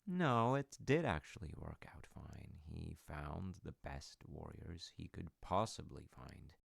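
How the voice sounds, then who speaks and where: A man plays a deep-voiced father with a gravelly creak, telling old myths in an intimate audio roleplay. He rambles fondly and halts for wry little asides, warm toward his child. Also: animated, slow, no room sound, studio quality, exaggerated voice. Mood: wry, amused.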